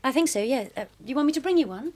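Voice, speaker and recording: A young woman acting in a radio drama as a caring daughter, tentative and gently probing. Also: sits high, expressive, very fast. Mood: concerned. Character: concerned, gently probing daughter living at home.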